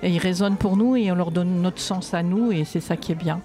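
A woman's voice: fairly low pitch, a little fast, warm tone, faint music behind. A woman poet and workshop leader answering interview questions in a reflective, light-hearted way.

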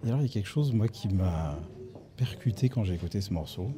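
A man's voice: on the low side, lively intonation, quick pace, a crowd in the background. A man interviewing a singer, asking long, reflective and detailed questions about her voice. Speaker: radio interviewer.

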